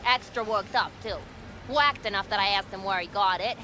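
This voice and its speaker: An actress playing a sarcastic, foul-mouthed market food vendor in a video game, blunt and slangy. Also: female voice, medium pitch, normal speed, pushed and bright, close to an everyday voice. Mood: sardonic. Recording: music behind the voice.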